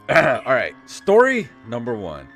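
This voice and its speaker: A man's voice: medium pitch, huge pitch swings. A tired-sounding man hosting a podcast, chatting casually and comedically, sometimes reading out theatrically. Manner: theatrical, drawn-out dramatic reading of stories. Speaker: podcast host. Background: background music.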